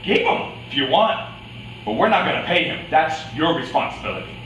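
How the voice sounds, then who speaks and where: A man's voice: fairly high pitch, very animated, fairly quick, a bit bright. A man in an amateur staged reading playing a scheming fight fixer, bossy and condescending, with a veiled menace. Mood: bossy, menacing. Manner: wheedling yet menacing, condescending. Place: echoey indoor room, staged reading. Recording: distant echoey room, faint noise.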